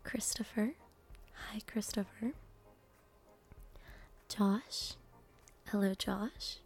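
Very slow, whispering ASMR woman. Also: mid-range voice, steady tone. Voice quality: breathy whisper mixed with soft speech. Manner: gentle, slow, pausing between short phrases. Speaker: host greeting viewers by name. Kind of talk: ASMR name reading.

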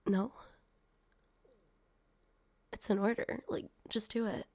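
Young woman doing a guarded girl opening up to her driver, soft half-whisper, husky and a bit gravelly, dark tone. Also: medium pitch, fairly even intonation, slow, no echo. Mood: frustrated. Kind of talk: one-sided audio roleplay addressing the listener.

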